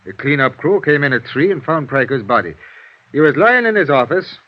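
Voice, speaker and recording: An actor playing a chatty, world-weary homicide lieutenant in a 1950s radio drama, talking in a folksy, grumbling, familiar way. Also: male voice, fairly high pitch, big pitch swings, fairly quick. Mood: matter-of-fact. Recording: dull, noisy vintage radio recording.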